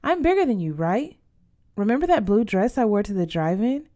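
A woman voicing a bossy, generous teenage girl in a storybook, brisk, teasing and persuasive. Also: medium pitch, very animated, dark tone, lightly acted. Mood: insistent, generous.

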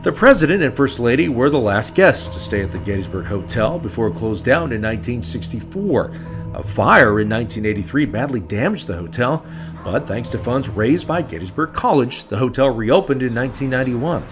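Man, scripted narration, animated delivery, steady middle pitch, music bed. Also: a little fast. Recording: voice-over with background music.

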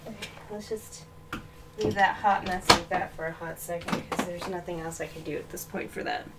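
A woman's voice: fairly low pitch, fairly even intonation, normal speed, very noisy. A woman in her thirties with a slight Arkansas accent, chatting casually on a livestream while tidying her bedroom, rambling and making fun of herself, often moving away from the webcam mic. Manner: rambling, self-mocking, talks while moving things around. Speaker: livestreaming doll collector. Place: small bedroom full of dolls. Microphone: webcam mic, often off-mic moving around.

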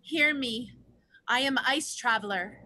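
A woman as an earnest, bright-voiced orca leader. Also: a little high, measured pace. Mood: pleading, resolute. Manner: solemn, pleading, declamatory. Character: Ice Traveler, female orca, earnest hopeful leader. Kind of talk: dramatic play reading. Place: home room, video call.

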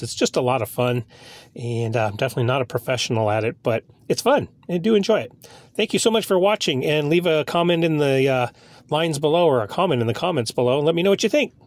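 A man's voice: medium pitch, darkish tone, no room sound. A man chatting casually as a radio hobbyist and video host, rambling and hesitant, recorded inside a car. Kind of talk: casual vlog narration.

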